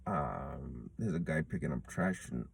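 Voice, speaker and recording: A man's voice: rumbling low, lively intonation, a little slow, creaky, a little hiss or hum. Earnest rambling man in his thirties. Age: 30s. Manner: rambling and earnest, many restarts and fillers. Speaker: jiu-jitsu purple belt vlogger. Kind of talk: reflective casual monologue. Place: outdoors in a public place.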